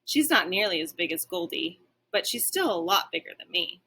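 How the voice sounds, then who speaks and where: A woman reading a children's story aloud like a teacher, with expressive narration. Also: lowish voice, normal speed, husky.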